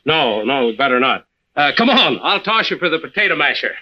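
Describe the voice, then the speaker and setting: A man's voice: on the high side, very animated, a little fast. A man playing a hearty, genial seasoned seaman who runs a supply boat, in old-time radio drama style. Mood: reminiscing. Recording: dull vintage radio recording, faint noise.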